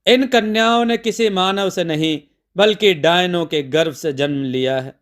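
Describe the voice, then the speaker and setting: A man voicing a serene, reassuring divine woman in a mythological story, speaking calmly and solemnly. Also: sits high, a little slow.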